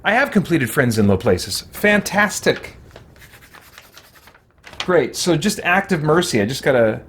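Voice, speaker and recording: A man's voice: a little high, big pitch swings, measured pace, a bit bright, breathy edge. A man giving casual, rambling gameplay commentary as he thinks aloud. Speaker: let's play host.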